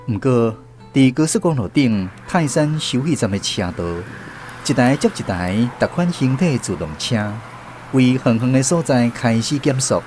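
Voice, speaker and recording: Expressive Taiwanese man narrating over music. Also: medium pitch. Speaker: radio drama narrator. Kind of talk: scripted literary narration for radio drama.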